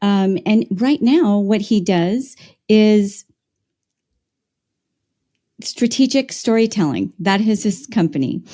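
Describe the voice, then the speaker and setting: Mellow-voiced enthusiastic woman host. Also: medium pitch. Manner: enthusiastic, welcoming. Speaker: podcast host. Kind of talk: interview hosting.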